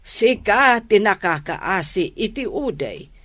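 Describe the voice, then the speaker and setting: A woman's voice: medium pitch, lively intonation, slow pace, a bit bright. A woman playing a concerned, earnest mother admonishing her grown daughter in an Ilocano radio drama.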